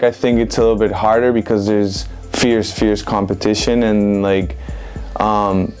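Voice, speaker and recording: A young man in his twenties from Venezuela, giving relaxed, rambling interview answers full of "like" and "um". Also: on the low side. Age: 20s. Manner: relaxed, rambling with many fillers like "like" and "um". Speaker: interviewed street photographer. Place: indoor room with bookshelves.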